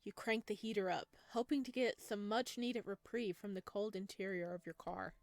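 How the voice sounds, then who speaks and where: A woman reads a horror visual novel aloud at an even pace, her voice in the middle of the usual range for a woman. She stops now and then for chatty asides and giggles. The sound is close and dry, with no room echo. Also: medium pitch. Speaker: game streamer.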